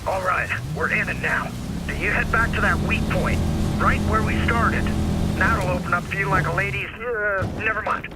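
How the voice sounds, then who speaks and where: Man as a wry, higher-voiced hacker sidekick. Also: normal speed, heavy background noise, close to an everyday voice. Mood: wry, encouraging. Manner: wry, casual. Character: wry, folksy male hacker ally giving instructions. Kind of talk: video game dialogue.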